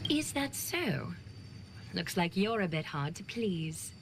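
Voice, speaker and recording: A woman voicing a composed, gracious leader in a game, speaking in a measured, formal and gently authoritative way. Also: lowish voice, big pitch swings, noisy background. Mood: gently probing. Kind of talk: scripted game dialogue. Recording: clear music behind. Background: music.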